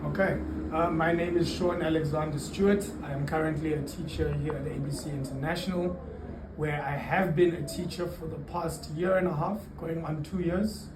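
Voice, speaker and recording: A man's voice: fairly high pitch, fairly even intonation, moderate pace. A middle-aged man who works as a teacher, giving casual answers as an interview guest in a roomy, noisy recording from a distant mic. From Zimbabwe-born, raised in the UK and South Africa.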